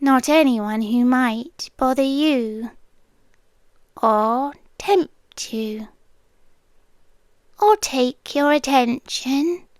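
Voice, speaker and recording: A woman's voice: high voice, drawn-out words, long pauses, darkish tone, smooth, dry recording, clean studio sound. A British woman playing a possessive, sweetly menacing landlady girlfriend in an intimate ASMR roleplay, coaxing and controlling, often dropping into whispers. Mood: calmly obsessive.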